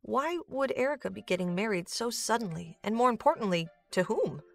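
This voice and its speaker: A woman's voice: a little high, lively intonation, brisk, vocal fry. A woman reading scripted narration for a fantasy audio drama. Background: music.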